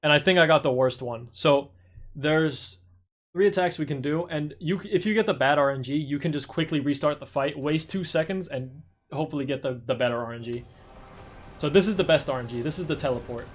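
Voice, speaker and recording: A man's voice: fairly high pitch, fairly quick. Tired, chatty young-sounding streamer man. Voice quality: chatty, rambling, self-correcting. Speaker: speedrunner streamer. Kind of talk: casual gameplay tutorial.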